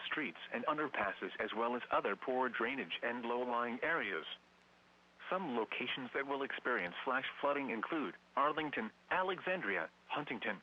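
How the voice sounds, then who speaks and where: A synthetic male text-to-speech voice reading scripted emergency weather warnings as a radio announcer, formal and measured. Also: medium pitch. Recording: dull lo-fi weather radio, humming noise.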